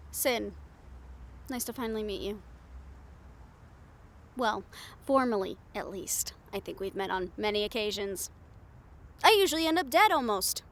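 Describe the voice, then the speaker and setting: A woman's voice: mid-range voice, lively intonation, unhurried, long pauses, slight background noise. A woman playing a brash, cynical, foul-mouthed reporter in an improvised audio roleplay, with a blunt, sardonic manner. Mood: teasing, wry.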